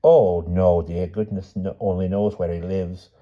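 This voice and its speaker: A man voicing a frail, timid old aunt in an audiobook story, with a quavering, hushed voice and a mild, simple manner. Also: sits low, fairly even intonation, unhurried. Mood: mild, firm. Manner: mild, simple, endearing old-fashioned address. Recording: dull, muffled audio.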